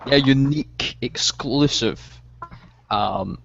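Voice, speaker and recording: A man in a casual group voice chat who talks in a dry, deadpan, mock-serious way. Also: mid-range voice, slow pace, creaky, slight background noise. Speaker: chat guest. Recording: lo-fi mic.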